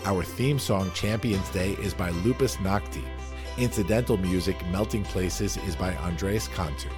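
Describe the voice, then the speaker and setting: Male podcast host, very deep voice, upbeat scripted outro, music bed underneath. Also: a little slow. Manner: upbeat and thankful.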